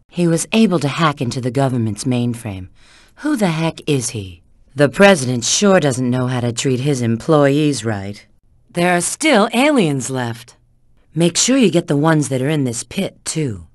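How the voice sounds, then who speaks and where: A woman's voice: low-pitched, no room sound, studio quality. A woman voicing a sly, flirtatious, confident treasure-hunting agent in a video game, giving hints and callouts with teasing assurance. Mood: curious, alert.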